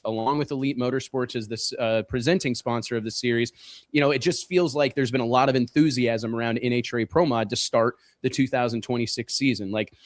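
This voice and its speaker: A man's voice: medium pitch, no room sound. A man hosting a casual racing talk show, stressing points by repeating words. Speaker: show host. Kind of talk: casual podcast chat.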